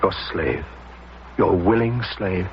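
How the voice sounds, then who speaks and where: An actor playing a prim, formal 79-year-old society gentleman in an old-time radio drama, with pompous, precise diction. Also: low voice, takes it slowly, a little hiss or hum. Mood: submissive. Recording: dull old radio broadcast, music behind.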